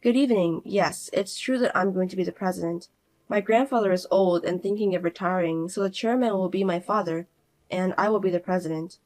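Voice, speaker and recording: A woman voicing a calm, cool-headed young working woman who speaks quietly and firmly, narrating in the first person. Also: on the low side, a little fast, natural voice, poor audio.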